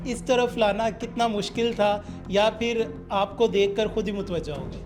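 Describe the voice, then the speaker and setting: A man's voice: high-pitched, moderate pace, music in the background. A Pakistani man hosting a podcast interview, speaking politely and respectfully as he presents and asks questions. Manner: polite, addresses guest respectfully.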